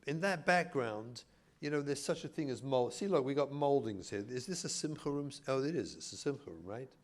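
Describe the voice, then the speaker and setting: A man's voice: medium pitch, animated, a little fast. A middle-aged man from England with an English accent, a rabbi giving a humorous talk to an audience in a community hall, telling stories with mock-indignant asides.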